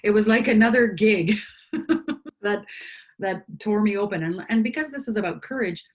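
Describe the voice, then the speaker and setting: A woman's voice: medium pitch, normal speed. A woman from Canada, a singer-songwriter and interview guest, speaking earnestly and with conviction. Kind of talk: reflective conversation.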